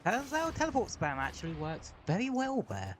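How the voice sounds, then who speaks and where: Male streamer, casual game commentary, lively and fairly high voice, faint game sounds behind. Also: normal speed, slight background noise. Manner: chatty, trailing off with fillers.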